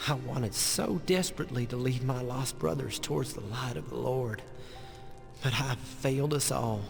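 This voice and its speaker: A man's voice: medium pitch, normal speed, gravelly, lightly acted. A man playing a remorseful, religious younger brother in a Western game, speaking solemnly and earnestly. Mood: anguished. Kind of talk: dramatic game narration and dialogue. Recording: long reverb with music behind.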